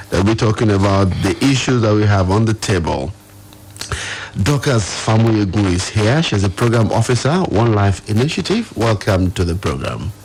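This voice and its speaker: A man's voice: fairly low pitch, darkish tone, slight background noise. A Nigerian man hosting a live radio talk show from a studio, speaking conversationally and putting questions straight to his listeners. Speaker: radio host.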